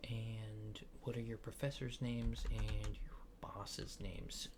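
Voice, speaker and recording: Soft-spoken ASMR man, gravelly fry. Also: fairly low pitch, flat delivery, slow pace, background noise. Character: laid-back alien abductor giving a survey. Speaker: ASMR creator. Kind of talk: soft-spoken ASMR roleplay interview, partly whispered.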